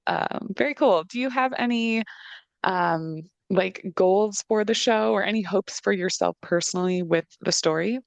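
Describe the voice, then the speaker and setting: A woman's voice: mid-range voice, measured pace, vocal fry, dry recording. A young woman hosting a casual interview over a video call on a headset. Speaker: interviewer, show producer. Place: indoor room, on a video call.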